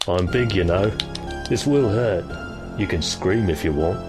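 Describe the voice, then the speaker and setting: A man's voice: deep voice, lively intonation, measured pace, music in the background. A man voicing a smug, predatory wolf villain in an amateur fan fiction reading, with a taunting, leering manner. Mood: menacing, leering.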